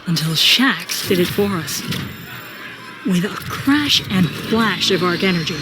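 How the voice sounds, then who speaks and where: A woman's voice: on the low side, expressive, measured pace, noisy background. A woman giving scripted, dramatic first-person narration as the narrator of an audio story.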